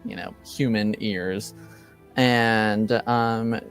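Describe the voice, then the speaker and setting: A man narrating a tabletop roleplaying game as game master, improvising in a halting, hesitant way. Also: on the low side, fairly even intonation, gravelly, faint music behind.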